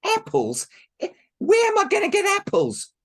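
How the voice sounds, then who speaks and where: Brief: timid put-upon girl, voiced by a man, very high and lively, pleading, slightly husky. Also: moderate pace. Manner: pleading, bewildered.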